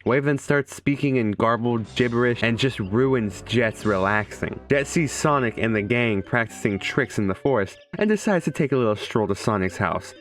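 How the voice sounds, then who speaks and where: Young man, medium pitch, casual game reviewer, irreverent jokes and swearing, faint music underneath. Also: expressive, moderate pace, hardly any pauses. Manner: irreverent, profane, joking. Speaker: video game reviewer and streamer. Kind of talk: casual comedic scripted commentary.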